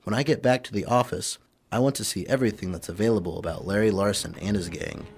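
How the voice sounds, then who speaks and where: A commanding newspaper boss and secret crimefighter acted by a man for a radio drama. His voice is on the low side and businesslike, with music clearly playing behind it. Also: fast talker, close to an everyday voice. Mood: businesslike, resolute.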